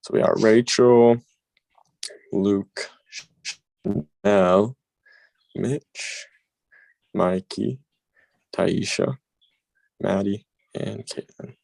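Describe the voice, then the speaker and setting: A man hosting an online game over a video call, talking in a casual, brisk way. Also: on the low side, fairly even intonation, creaky, darkish tone, no echo, low-quality recording. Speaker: game host.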